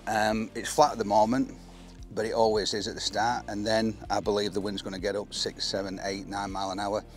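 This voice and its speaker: A man's voice: lowish voice, level tone, brisk, faint music behind. A man in his fifties with an English accent, presenting a fishing match to camera outdoors. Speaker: angler and presenter. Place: outdoors on an open reservoir bank.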